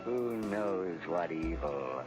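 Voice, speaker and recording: An actor playing a sinister, mocking shadowy avenger in theatrical old-time radio style, slow and ominous. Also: male voice, deep voice, animated, music in the background. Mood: menacing, gloating. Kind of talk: 1940s radio drama acting.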